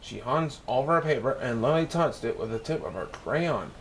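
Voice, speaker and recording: A man's voice: mid-range voice, expressive, normal speed, slight background noise. A man narrating a children's picture book as a read-aloud storyteller.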